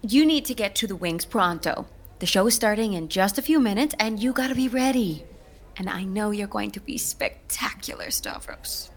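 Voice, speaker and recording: A woman's voice: medium pitch, lively intonation, normal speed. A woman playing an earnest, encouraging stage manager in a scripted comedy audio drama. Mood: encouraging, awkward.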